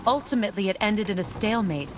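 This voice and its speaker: A woman playing a wise, solemn keeper of old magic in a video game, speaking in a measured, knowing, gently instructive way. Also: mid-range voice, expressive, slight background noise, close to an everyday voice. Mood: solemn storytelling.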